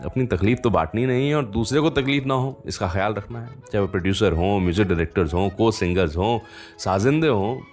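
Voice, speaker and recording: A man hosting a radio show, telling stories conversationally with unhurried pauses and fillers. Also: on the low side, animated, darkish tone, faint music behind. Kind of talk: conversational storytelling radio presenting.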